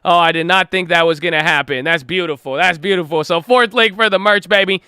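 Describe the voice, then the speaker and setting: High bright voiced guy hyping up his trading channel, slangy and excitable, dry close mic. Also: a little fast, clean tone, dry recording. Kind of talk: casual hyped vlog talk.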